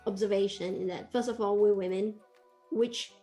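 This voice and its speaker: A woman in her forties from Malaysia talks over a video call from a room at home, her voice warm and relaxed. She speaks thoughtfully and reassuringly, like a host drawing out a guest. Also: mid-range voice, normal speed, soft background music. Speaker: podcast host. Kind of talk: casual video-call interview.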